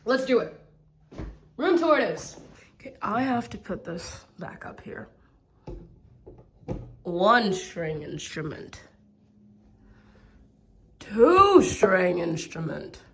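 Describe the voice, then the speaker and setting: A woman rambles through a casual vlog on her camera mic, slow and drawn out. She is playful and self-mocking and drifts into sing-song bits. The bedroom around her sounds echoey. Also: mid-range voice, sing-song, slight background noise. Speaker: vlogger.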